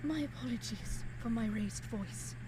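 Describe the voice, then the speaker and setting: A woman plays a genteel young lady of eighteenth-century Vienna in an audio drama. Her voice is high, her speech formal, caring and easily flustered into apology. The recording has clear background noise. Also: unhurried, close to an everyday voice. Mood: hurt, earnest. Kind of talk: period romance audio drama acting.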